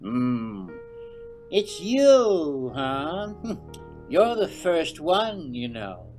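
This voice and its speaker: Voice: mid-range voice, sing-song, extremely slow, a little mellow, soft background music. A performer voicing an eccentric, menacing male government agent with a theatrical, swooping delivery in an audio drama. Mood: sly, menacing.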